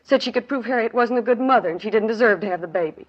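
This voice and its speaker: A woman's voice: a little high, racing, close to an everyday voice. Actress as weary woman in a dull vintage radio drama. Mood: sorrowful. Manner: plain clipped sentences, colloquial grammar. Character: weary working-class woman, grieving witness telling a friend's tragedy. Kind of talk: 1950s radio drama acting. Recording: dull 1950s radio recording.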